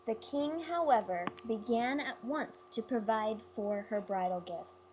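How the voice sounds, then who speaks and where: A woman reads a fairy tale aloud in storybook style. Her voice sits a little high, and there is faint noise behind her on the recording. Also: unhurried, a little mellow, low-quality recording. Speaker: storybook reader.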